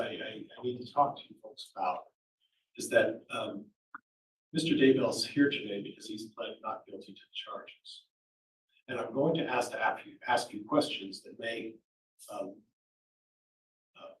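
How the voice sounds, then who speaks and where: Man attorney addressing a jury panel, loud and projecting, full of "um"s and restarts. Heard from a distance in a big, reverberant courtroom, his voice carrying as he circles his points. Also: medium pitch. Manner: loud projecting voice, rambling with fillers. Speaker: attorney questioning prospective jurors. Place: large courtroom, indoors. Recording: echoey courtroom audio from far off.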